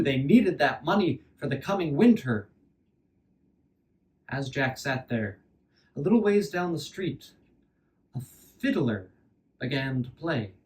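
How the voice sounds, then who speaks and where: A man performs a fairy tale as an oral storyteller. His voice is fairly high and his delivery unhurried, dwelling on phrases and echoing them for dramatic effect. The microphone catches him from a slight distance. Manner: deliberate, repeats phrases for effect.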